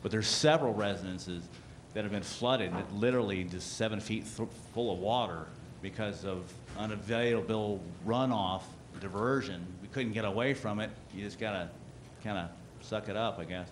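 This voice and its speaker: A man's voice: lowish voice, normal speed, few pauses, slight background noise. A man making a blunt, frustrated public comment at a community meeting.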